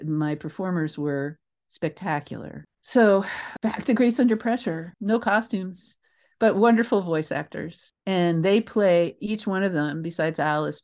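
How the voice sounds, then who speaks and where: A middle-aged woman with a slightly low voice speaks as an interview guest. She tells stories conversationally, with emphasis and expression. Her delivery is reflective, like a director explaining her craft. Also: darkish tone. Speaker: guest, theater director and creator.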